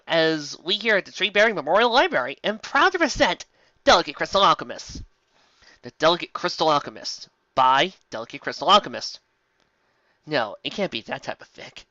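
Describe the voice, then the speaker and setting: Casting: theatrical, mocking fanfic narrator, mid-pitched with a sharp forceful edge, on a slightly muffled close-sounding mic. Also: dry recording. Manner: theatrical, exclamatory, sarcastic asides. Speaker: host of a fanfic reading show. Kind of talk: comedic dramatic reading with mocking commentary.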